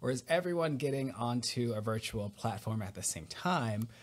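A man's voice: mid-range voice, expressive, moderate pace. Podcast host guy, 40s, headset video call, asks chatty curious questions. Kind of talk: casual interview. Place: home room, indoors.

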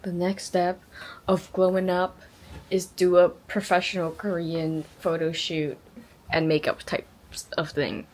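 A woman's voice: lowish voice, flat delivery, a little slow. An eighteen-year-old woman chatting casually to a handheld camera, gushing informally and repeating words for emphasis. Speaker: vlogger. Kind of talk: casual vlog chat to camera. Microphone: handheld camera at arm's length. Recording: loud music behind the voice. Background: music.

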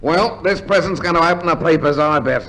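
An actor playing a rude, wisecracking Australian larrikin in a 1950s radio comedy, blunt and slangy. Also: male voice, fairly high pitch, a little fast, a bit bright. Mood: brisk, conspiratorial. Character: rude, wisecracking working-class larrikin man. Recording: slightly noisy 1950s radio recording.

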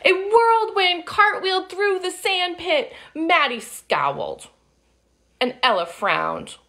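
A woman's voice: extremely high pitch, gravelly. A woman in her thirties reading a picture book aloud to young children, with sing-song delivery and friendly asides. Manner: animated, slow and deliberate, sing-song child-directed delivery. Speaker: children's storytime reader. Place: living room at home, indoors. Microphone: phone or webcam at arm's length.

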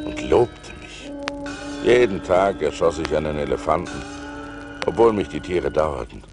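An actor playing a seafarer who recounts perilous adventures theatrically and deliberately, in an audio play transferred from vinyl. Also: male voice, low-pitched, exaggerated voice. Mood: awed, dramatic. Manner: theatrical, deliberate, swelling with suspense. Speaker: narrator. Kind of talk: dramatic storytelling in an audio play.

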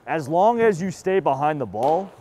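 A man's voice: a little high, very animated, moderate pace, warm tone. A man with a Massachusetts accent coaching baseball catching drills in casual, encouraging, slangy bursts. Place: outdoor batting cage and bullpen.

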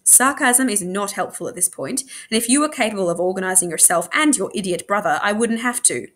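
Female reader as firm, no-nonsense storybook mum, sharp-tongued and brisk. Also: medium pitch, lively intonation. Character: brisk, sharp-tongued mother, a busy lawyer.

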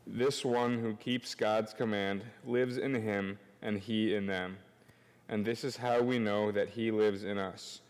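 A young man with a fairly low voice reads scripture at a church lectern. His delivery is formal, measured and solemn. The sound carries the long reverb of the sanctuary. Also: steady tone. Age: young adult. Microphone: gooseneck lectern microphone.

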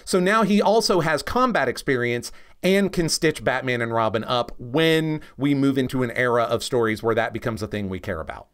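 A man's voice: mid-range voice, normal speed, fairly bright tone. A man co-hosting a podcast, explaining casually and with opinions, often checking in with the listener. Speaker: podcast co-host and comics expert.

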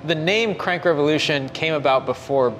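A man in his thirties talks casually across a table in his bike shop, tossing in asides and correcting himself mid-sentence. His voice carries a long reverb from the shop, and music plays clearly behind him. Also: on the high side, a bit bright. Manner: chatty, quick asides and self-corrections. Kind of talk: casual sit-down conversation.

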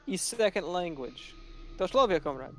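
A man's voice: sits high, expressive, moderate pace, background noise. A young man playing an online game with friends, making dry sarcastic jokes. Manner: sarcastic, mock-fatherly teasing. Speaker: gamer. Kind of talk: casual gaming chat with friends.

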